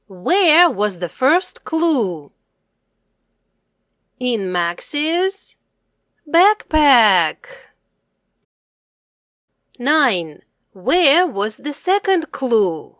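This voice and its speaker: A woman leads a children's quiz in a teacherly way, speaking very slowly and enunciating with care. Her voice sits a little high and moves with lively intonation between question and answer. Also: no room sound, clean studio sound. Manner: slow, deliberate, carefully enunciated, teacherly. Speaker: children's read-aloud presenter and teacher.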